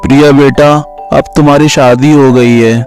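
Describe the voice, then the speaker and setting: A man's voice: mid-range voice, steady tone, moderate pace, a little mellow, soft background music, close to an everyday voice. A man voicing a respectful family elder who gives advice in a cartoon wedding story. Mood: reassuring.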